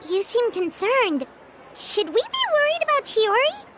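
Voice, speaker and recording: A woman voicing a small, chirpy, curious fairy companion in an anime video game, with a squeaky childlike voice and exaggerated delivery. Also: sing-song, a little slow, background noise, exaggerated voice. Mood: concerned. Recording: music behind the voice. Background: game music.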